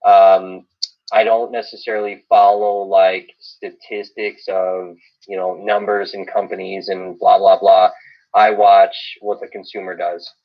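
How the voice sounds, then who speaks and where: A man's voice: sits low, little pitch movement, measured pace. A middle-aged American man from Illinois chatting casually and emphatically, repeating phrases for weight. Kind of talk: casual chat. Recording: dull, band-limited stream audio.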